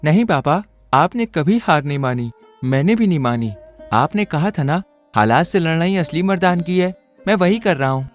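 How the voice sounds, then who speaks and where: A man's voice: on the high side, lively intonation, moderate pace, faint music behind, close to an everyday voice. A man voicing a poor but proud boy in a cartoon story who speaks earnestly and with determination. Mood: determined.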